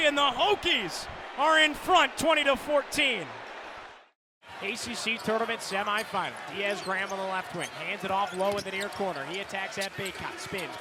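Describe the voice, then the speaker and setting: A man doing live radio play-by-play sports commentary, with vivid, clipped descriptive calls that build into excited shouts. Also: high-pitched, moderate pace. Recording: loud arena and ballpark noise behind.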